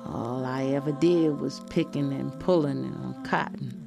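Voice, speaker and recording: A woman voicing a curious, tender young granddaughter, telling her story in hushed, wondering dialect. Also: very deep voice, fairly even intonation, unhurried, creaky, over music, heavily acted. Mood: curious, puzzled. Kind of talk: dramatic audio storytelling.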